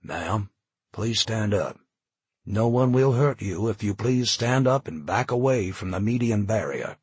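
A man doing a calm, steady armed officer. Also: on the low side, a little slow, slightly rough, no echo, heavily acted. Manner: calm, reassuring.

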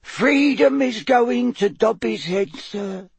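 A man voicing a tiny, timid female house elf servant in an audiobook, squeaky and quivering, deferential and anxious, with broken grammar. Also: vocal fry, breathy edge, dry recording, exaggerated voice. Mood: sad. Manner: subservient, broken grammar, drawn-out phrasing.

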